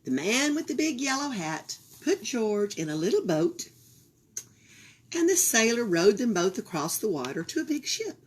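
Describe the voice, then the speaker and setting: A woman reading a children's picture book aloud for a library storytime, expressive and sometimes dropping into a whisper. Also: a little high, normal speed, husky. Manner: expressive, drops into whispers for suspense.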